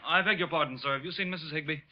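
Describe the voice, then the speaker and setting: An actor playing a deferential, puzzled office underling in a 1940s radio drama. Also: male voice, fairly high pitch, racing, no room sound, natural voice. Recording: muffled old radio recording.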